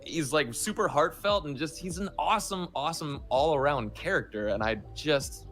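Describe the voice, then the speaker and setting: A man, a voice actor, talking casually and emphatically as an interview guest, telling stories in an animated way. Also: on the high side, normal speed. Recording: music playing behind the voice.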